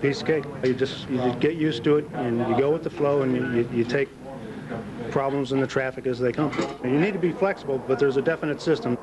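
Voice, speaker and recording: A man working as an air traffic controller, talking in a brisk, easygoing way. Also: medium pitch, crowd noise, rough recording.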